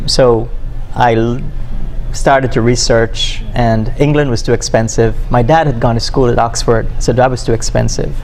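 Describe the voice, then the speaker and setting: A man from Guyana, recalling his schooling in an unhurried, measured way in an interview. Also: mid-range voice, steady tone, darkish tone, a little hiss or hum. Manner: measured, unhurried, with thoughtful fillers. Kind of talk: reflective interview recollection.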